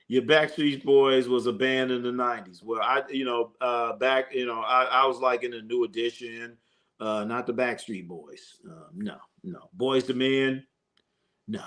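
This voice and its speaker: A man's voice: medium pitch, unhurried, a bit bright. A man hosting a live sports stream, chatting loosely with viewers and a co-host, joking and using slang with lots of fillers. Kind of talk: casual live stream chat reading comments.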